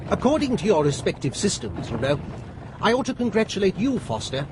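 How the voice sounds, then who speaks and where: An actor playing a genial, fence-sitting gentleman philosopher in a period radio drama, with a British accent and urbane, wordy wit. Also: high-pitched, big pitch swings, a little fast, noisy background. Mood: wry, genial.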